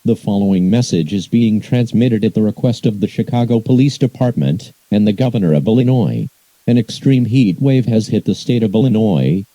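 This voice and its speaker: A man's voice: lowish voice, mellow, dry recording. A man reads a scripted emergency alert announcement in a formal, impersonal manner. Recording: muffled, hissy emergency broadcast audio.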